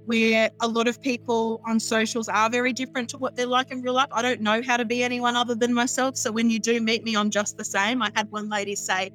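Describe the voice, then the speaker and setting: A woman guest on a podcast, chatting casually in a smooth, high-pitched voice and cackling as she laughs. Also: level tone, brisk, few pauses, fairly bright tone, soft background music, low-quality recording. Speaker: astrologer guest. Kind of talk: casual interview chat.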